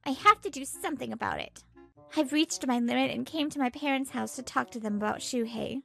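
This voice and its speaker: A woman's voice: high-pitched, a little fast, vocal fry, soft background music. A woman playing an exasperated young pregnant housewife in a manga dub, complaining expressively and narrating her troubles. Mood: venting.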